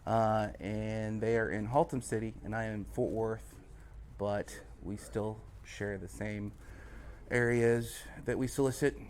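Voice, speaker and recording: A man's voice: fairly low pitch, fairly even intonation, unhurried, a little mellow. A man in his thirties who owns a tattoo studio, talking candidly and wryly in a documentary interview. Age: 30s. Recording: noisy background.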